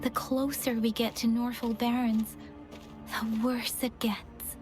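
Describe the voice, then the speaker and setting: A woman's voice: on the high side, little pitch movement, takes it slowly. A woman playing an earnest, watchful young female soldier in a game cutscene, speaking with care and concern. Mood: wary, troubled. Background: music.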